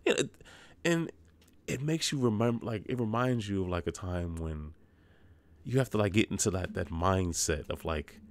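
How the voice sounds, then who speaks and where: Male podcast host, extremely low-pitched, for loose, rambling game reviews with joking tangents. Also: animated, moderate pace, no room sound.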